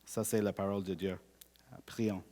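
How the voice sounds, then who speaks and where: A Canadian man whose first language is English, preaching a sermon in measured, deliberate speech and slowing down to read scripture. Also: low voice, level tone, mellow, long reverb tail.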